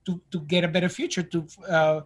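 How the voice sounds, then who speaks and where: A man's voice: on the high side, level tone, a little fast. A man raised in Venezuela, a native Spanish speaker, telling stories bluntly and with animation as a guest on a casual podcast.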